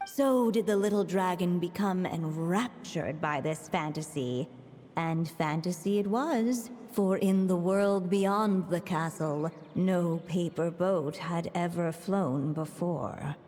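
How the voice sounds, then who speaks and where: A woman playing a solemn storybook narrator in a video game, telling a fairy tale in a measured, grave way. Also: on the low side, darkish tone, a little hiss or hum. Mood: correcting. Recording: long hall reverb, music underneath.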